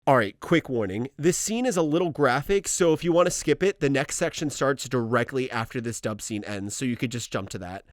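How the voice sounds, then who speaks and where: A man's voice: mid-range voice, expressive, brisk, hardly any pauses, no room sound, studio quality. A young man giving casual, conversational scripted narration as a video essayist. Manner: informal, conversational.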